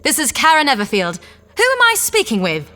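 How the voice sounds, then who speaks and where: Woman doing a plummy unflappable space lady, high and bright, echoey, some music. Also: wildly swooping pitch, a little fast, heavily acted. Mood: breezy. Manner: plummy, breezy. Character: posh cheerful spacefaring wife, brisk and unflappable. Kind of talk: audio drama acting.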